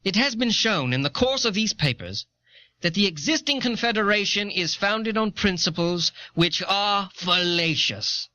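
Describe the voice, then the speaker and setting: A man's voice: sits high, pushed and bright, exaggerated voice. A man playing an earnest, learned 18th-century American statesman, reading his writings formally and oratorically on an old cassette. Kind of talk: dramatic reading of historical writings. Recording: dull, dry lo-fi old cassette.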